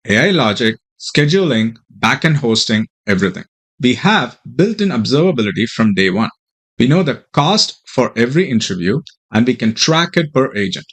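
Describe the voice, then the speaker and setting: A man narrating a screen-share software tutorial, explaining things step by step as the presenter. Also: mid-range voice.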